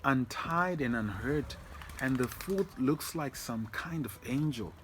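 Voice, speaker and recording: A man's voice: mid-range voice, animated, takes it slowly, slight background noise. A man voicing an amazed, urgent ancient king in a children's story.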